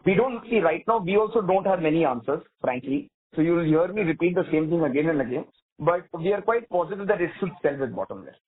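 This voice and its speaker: The sound is a thin, narrow telephone conference line. On it, a man with an Indian accent and a fairly high-pitched voice answers questions as a company executive. He explains things step by step, often repeating and rephrasing himself. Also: animated, fast talker. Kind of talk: earnings call question and answer. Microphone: telephone conference call. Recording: narrow tinny phone line.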